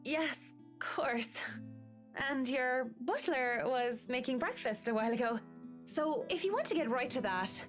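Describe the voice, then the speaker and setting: A woman's voice: high-pitched, lively intonation, soft background music. A woman playing a stern, formal architect. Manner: measured, formal, reproachful. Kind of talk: game character voicing.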